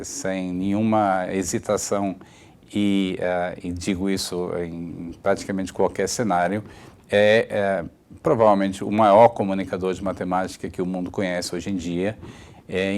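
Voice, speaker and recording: Low-voiced male interviewer, hesitant fillers. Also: a little mellow. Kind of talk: formal interview introduction.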